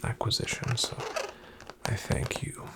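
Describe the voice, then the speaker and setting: A man playing a polite, reassuring financial advisor in an ASMR roleplay, in a breathy half-whisper with gravelly fry and a slow, soothing, formal delivery. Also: close to an everyday voice. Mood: calm, businesslike. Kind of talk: ASMR, soft-spoken roleplay.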